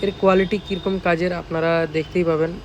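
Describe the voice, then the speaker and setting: Higher-voiced Bengali man, flat delivery. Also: quick pace. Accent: West Bengal Bengali. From West Bengal, India. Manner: informal, repeatedly checks with listeners. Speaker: speaker repair shop owner and presenter. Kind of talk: casual product showcase vlog. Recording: noisy, with vehicle and outdoor ambience.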